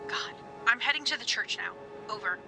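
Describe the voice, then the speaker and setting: A woman's voice: on the high side, lively intonation, brisk, over music. An actress playing a chatty, exasperated teenage girl in a video game, talking casually over a walkie-talkie. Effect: walkie-talkie radio. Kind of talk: naturalistic video game acting.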